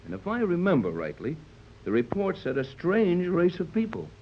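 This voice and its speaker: An actor playing a steady, fatherly older yacht captain in a 1930s radio serial, measured and authoritative as he gives orders. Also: sits high, heavily acted. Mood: assured, correcting. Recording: muffled, noisy 1930s radio recording.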